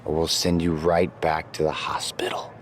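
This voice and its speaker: A man's voice: rumbling low, completely flat delivery, normal speed, slight background noise. A man playing a cocky pro wrestler in a video game, delivering a smug, mock-reassuring promo. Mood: mocking, menacing.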